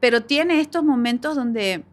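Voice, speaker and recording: A woman's voice: a little high, lively intonation, a little slow. A middle-aged woman hosting a faith-based conversational podcast, talking reflectively with many fillers. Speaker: podcast host.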